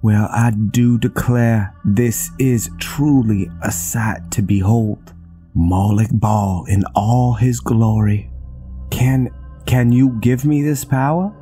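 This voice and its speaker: A man's voice: medium pitch, slow, mellow, soft background music, lightly acted. A man playing a cocky, folksy young vampire assassin in a fantasy game, with a drawling, taunting manner. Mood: awed, sly. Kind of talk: fantasy game character dialogue.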